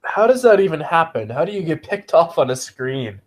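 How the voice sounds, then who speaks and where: A man's voice: fairly high pitch, big pitch swings, moderate pace. A man co-hosting a casual sports podcast while he has a cold and sniffles. He recites game stats bluntly and swears often. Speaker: podcast co-host.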